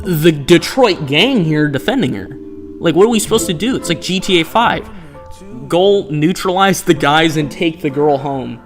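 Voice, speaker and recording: A man live-streaming a dating game, reacting with sarcastic, incredulous commentary and reading options aloud. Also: fairly high pitch.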